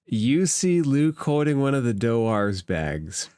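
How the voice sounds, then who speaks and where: A man's voice: medium pitch, sing-song, takes it slowly, a little mellow, clear, resonant tone, no room sound. A man acting as game master, narrating a tabletop role-playing game and calling for dice rolls.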